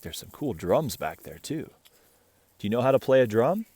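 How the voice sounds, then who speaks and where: A man reading a children's picture book aloud in an animated, playful way, chatting with and questioning the listener. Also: medium pitch, normal speed, breathy edge, no room sound. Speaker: picture-book reader, host.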